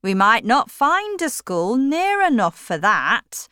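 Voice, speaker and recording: A woman voicing a stubborn middle-aged miller, speaking louder and more forcefully than her narration. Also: a little high, sing-song, slow pace, clear, resonant tone, no room sound, clean studio sound, pitched above the performer's own voice, heavily acted. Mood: scolding. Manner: forceful, emphatic, raised voice.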